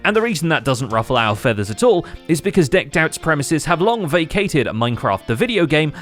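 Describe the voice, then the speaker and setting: A man's voice: a little high, big pitch swings, a little fast, fairly bright tone, faint music behind. A man hosting a gaming recap show, reading scripted narration in a wry manner.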